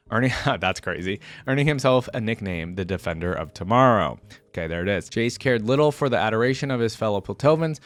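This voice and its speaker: A man with a fairly low voice reads lore aloud as a gaming content creator. He keeps it informal, stepping out of the narration for wry, casual commentary. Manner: informal, breaks off with wry asides. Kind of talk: reading a story aloud with casual reaction commentary.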